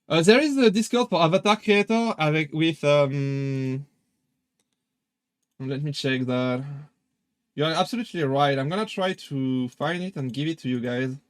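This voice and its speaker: A man's voice: fairly high pitch, a little slow, clean tone, no room sound, studio quality. A young man with a French accent chatting casually on a live stream, hesitant with many fillers and restarts. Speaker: VTuber streamer.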